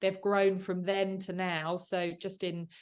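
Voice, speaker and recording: Earnest woman solicitor, slightly low voice. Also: flat delivery, takes it slowly, clear, resonant tone. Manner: earnest, explanatory, with frequent fillers and restarts. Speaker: commercial solicitor and guest. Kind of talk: conversational interview.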